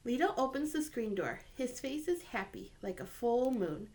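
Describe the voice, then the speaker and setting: A woman reading a children's picture book aloud in an expressive way, lifting her voice for the boy's lines. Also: a little high, moderate pace. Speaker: reader.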